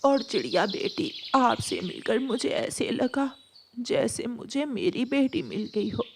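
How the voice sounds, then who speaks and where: A woman voicing a gentle, affectionate old grandmotherly mother dove in a cartoon. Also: a little high, animated, slow, creaky, slight background noise, exaggerated voice. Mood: tender, grateful. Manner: affectionate, addressing others as daughter and son.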